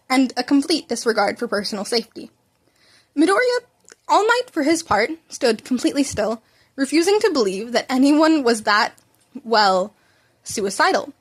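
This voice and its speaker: High-pitched woman reading fan fiction casually. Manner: informal, stumbles over words. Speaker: amateur podfic narrator.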